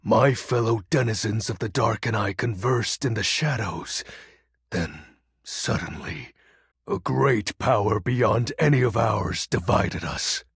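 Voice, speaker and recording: An actor voicing a melodramatic, brooding game character, a personification of darkness who talks in pompous, theatrical, archaic phrases with a gravelly, husky voice. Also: male voice, fairly low pitch, takes it slowly, no echo, clean studio sound, heavily acted. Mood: mournful, ominous. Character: melodramatic brooding Lord of Shadows, personified darkness, secretly dorky. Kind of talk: video game character voice acting.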